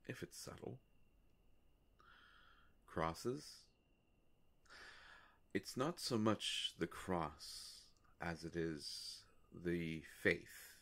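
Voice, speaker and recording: A man performs an immortal male vampire with a deep voice full of gravelly fry. He talks intimately to one listener in a roleplay audio, polite and wryly musing. His delivery is very unhurried and halting, with long pauses. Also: a bit bright, full character voice. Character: courteous, world-weary immortal male vampire, gently wry.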